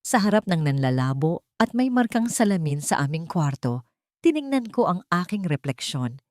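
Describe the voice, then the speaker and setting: Low-voiced Filipino woman narrating a story. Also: big pitch swings, moderate pace, a little mellow, no room sound, studio quality. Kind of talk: scripted first-person dramatic narration.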